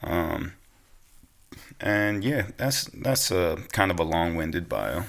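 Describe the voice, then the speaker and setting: A man's voice: low voice, expressive, slow, vocal fry, a bit bright. A young American man chatting casually as a podcast guest, easygoing and slangy. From Pennsylvania, United States. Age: young adult. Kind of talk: casual interview chat.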